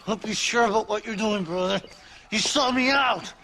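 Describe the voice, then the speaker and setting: High-voiced treacherous Mexican outlaw. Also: male voice, normal speed, noisy background. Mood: bitter, spiteful. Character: cornered treacherous male outlaw, pleading then spiteful. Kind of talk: Western video game acting.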